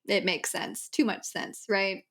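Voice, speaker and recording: A woman's voice: mid-range voice, steady tone, unhurried, fairly bright tone. A woman co-hosting a casual book discussion in a chatty, loosely strung way, peppered with "like". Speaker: podcast co-host.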